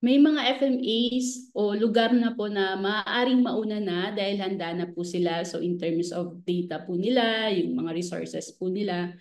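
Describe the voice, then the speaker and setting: A woman's voice: medium pitch, a little slow, a little mellow. A woman with a Filipino accent speaking as an expert guest in a radio interview, politely explaining and mixing Filipino with English.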